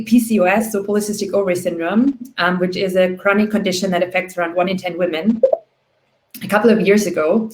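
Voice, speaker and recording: A woman in her thirties from Austria, a startup founder telling her story on a panel in a chatty way, full of "you know" and "like". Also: lowish voice. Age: 30s. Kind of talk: panel talk, personal story. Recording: roomy echo.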